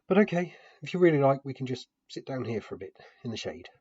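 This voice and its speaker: A man playing a kindly, anxious man minding children in a fantasy story, hesitant and gently reassuring, in a muffled home recording. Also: medium pitch, sing-song, quick pace, slightly rough, voice pushed up. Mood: gentle, uneasy.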